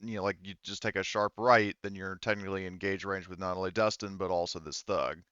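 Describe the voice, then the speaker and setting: A man with a low, smooth voice acts as game master, narrating a tabletop game in a casual way. He swears freely, and the sound is dry and slightly dull, like an online call mic. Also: steady tone, brisk, sharp tone, dry recording.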